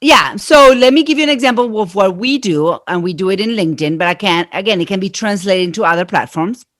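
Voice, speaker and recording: A woman's voice: medium pitch, lively intonation, fairly quick, no echo, clean studio sound. Middle-aged woman with an Argentine accent. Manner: earnest, explanatory. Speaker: guest. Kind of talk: interview answers.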